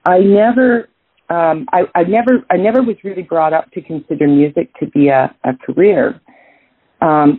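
Canadian jazz singer lady, middle-aged, husky, lots of ums and restarts, phone-ish audio. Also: sits low, no echo. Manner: thoughtful, many restarts and fillers. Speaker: jazz singer, interview guest. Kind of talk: casual interview answers. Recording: tinny phone-like line.

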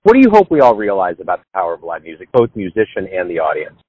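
A man's voice: low voice, wildly swooping pitch, fast, breathy edge. A male radio host puts questions to his guest in an easy, conversational tone. The sound is muffled and lo-fi. It is also dry, with no room echo. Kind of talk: interview questions. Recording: dull lo-fi line.

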